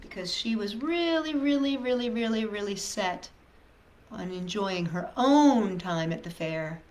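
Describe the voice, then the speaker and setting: A woman's voice: mid-range voice, animated, a little slow, a little mellow. A woman reading a picture book aloud as a storytime host, expressively, with sympathetic, coaxing asides to young viewers. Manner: sympathetic, coaxing, talks directly to children. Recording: echoey room, faint background noise.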